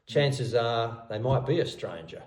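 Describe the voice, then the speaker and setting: A man's voice: medium pitch, expressive, unhurried, a little mellow. A man tutoring English in a casual chat, patient, often repeating and restarting his words. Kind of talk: casual one-on-one language lesson. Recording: roomy, distant from the mic.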